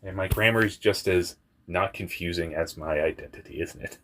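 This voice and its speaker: A young man with a very deep voice telling a personal story in a rambling, candid way, often laughing at himself and swearing. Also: moderate pace, a bit bright, slight background noise. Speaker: vlogger.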